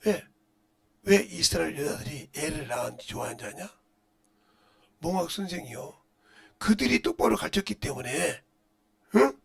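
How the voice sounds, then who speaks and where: A middle-aged man preaching as a pastor in a blunt, scolding manner, firing rhetorical questions and swinging between muttered asides and loud outbursts. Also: expressive, normal speed, creaky, pauses often. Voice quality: loud, booming voice. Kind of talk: impassioned Korean-language sermon with rhetorical questions.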